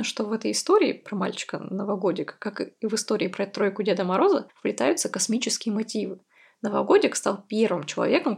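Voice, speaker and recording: A woman hosting a quiz podcast, talking listeners through the answers in a chatty, explanatory way. Her voice is husky and breathy. Creaky fry runs through her phrases. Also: mid-range voice, darkish tone. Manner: chatty, explanatory, with light laughs. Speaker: podcast host.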